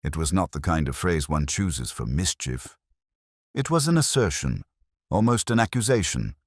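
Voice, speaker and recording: A man reading a first-person mystery story in a measured, reflective way. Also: extremely low pitch, big pitch swings, no room sound, clean studio sound. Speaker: narrator.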